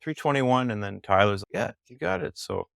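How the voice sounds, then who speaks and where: A man's voice: medium pitch, very animated, normal speed, gravelly, fairly bright tone, dry recording, clean studio sound. A middle-aged man, a podcast guest, chatting casually and telling rambling, enthusiastic stories. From Canada. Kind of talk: casual chat.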